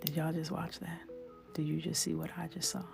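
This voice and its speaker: A woman's voice: low voice, little pitch movement, brisk, creaky, over music. A young woman from New York chatting playfully while she colors on a livestream, slipping into whispers. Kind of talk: casual chat while coloring.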